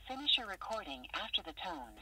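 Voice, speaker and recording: A woman's voice: medium pitch, very animated, brisk, sharp tone. A woman's automated voicemail system voice reading scripted menu prompts in a formal, instructional way over the telephone. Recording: slightly noisy telephone voicemail recording.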